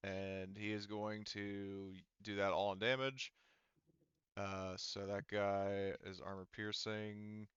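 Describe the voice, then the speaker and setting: A man with a lowish, very clear voice acts as game master, talking through combat and dice rolls conversationally. The sound is bright and forceful, on a dry, slightly dulled online call. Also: dry recording, highs cut off. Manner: profane, conversational. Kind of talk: tabletop roleplaying session, narrating combat and dice rolls.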